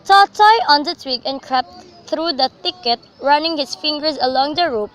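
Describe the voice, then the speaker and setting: High, bright woman narrating a radio drama. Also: animated, moderate pace.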